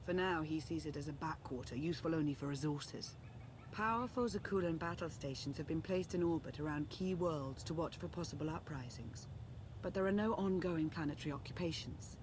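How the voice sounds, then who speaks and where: An actress playing a composed, earnest female Sith strategist and loyal ally in a video game, speaking in a measured, formal, articulate way. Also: low voice, background noise, natural voice. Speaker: advisor and ally. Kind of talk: scripted game dialogue, briefing.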